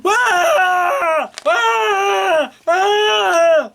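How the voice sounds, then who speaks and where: A woman voicing an inconsolable storybook baby girl with loud, drawn-out wailing cries. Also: very high-pitched, vocal fry, voice pushed up, exaggerated voice.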